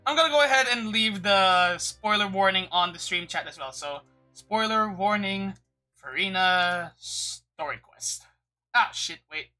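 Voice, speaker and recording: A woman's voice: mid-range voice, animated, unhurried, pushed and bright, soft background music. A woman livestreaming a game with casual, rambling commentary, often stammering and swearing, on a streaming microphone.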